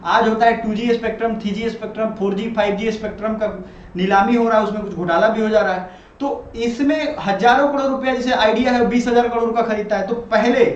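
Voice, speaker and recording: A man giving a conversational current-affairs lecture as a teacher, mixing in English and checking in with listeners. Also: high-pitched. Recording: echoey room, muffled, loud background noise.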